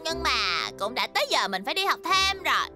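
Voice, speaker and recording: Very high-pitched, spirited little schoolgirl. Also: fairly quick, faint music behind. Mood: cheerful. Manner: expressive, childlike delivery. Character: spirited little schoolgirl, quick-witted and changeable. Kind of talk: animated cartoon dub.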